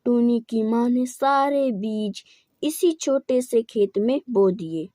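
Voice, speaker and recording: A woman's voice: a little high, takes it slowly, warm tone, no echo, studio quality. A woman narrating a scripted children's moral story.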